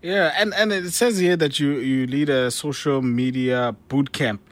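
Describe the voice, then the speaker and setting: A man's voice: mid-range voice, measured pace, dry recording. A man hosting a radio interview, asking questions in a hesitant way with many fillers.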